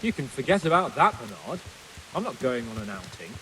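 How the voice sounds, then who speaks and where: A man's voice: mid-range voice, sing-song, brisk, background noise, natural voice. An actor playing a proud, headstrong young nobleman in a medieval video game, haughty and insistent. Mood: defiant.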